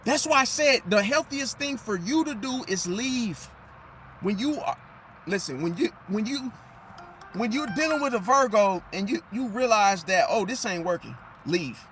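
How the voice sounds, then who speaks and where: Hoarse, high-voiced man preaching emphatically. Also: lively intonation, normal speed, fairly bright tone, soft background music, rough recording. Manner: preachy, emphatic, repeats phrases and asks rhetorical questions. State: slightly hoarse, losing his voice. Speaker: astrology commentator. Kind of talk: casual talking monologue to viewers.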